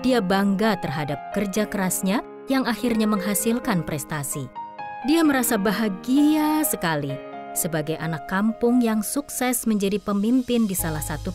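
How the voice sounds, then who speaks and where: Female narrator, scripted audio-drama storytelling, mid-range voice over clear background music. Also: mid-range voice. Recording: loud music behind the voice.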